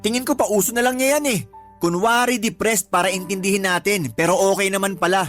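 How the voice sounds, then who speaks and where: Aggrieved, hot-tempered husband, radio drama. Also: male voice, high-pitched, brisk, a bit bright, faint music behind. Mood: bitter, exasperated. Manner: sarcastic, argumentative. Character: hot-tempered, aggrieved live-in husband ranting about his mother-in-law. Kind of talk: Tagalog radio drama acting.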